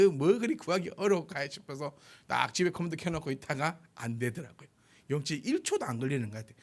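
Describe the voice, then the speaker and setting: A man in his forties, a pastor, giving a chatty, informal sermon with a self-deprecating manner. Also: a little high. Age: 40s. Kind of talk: sermon, conversational and anecdotal.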